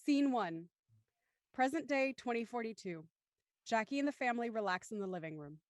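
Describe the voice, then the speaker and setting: Lady hosting a live table read, highish voice, politely formal, super dry audio. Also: lively intonation, normal speed, no echo. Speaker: host and stage-direction reader of live show. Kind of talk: live stream hosting and script narration.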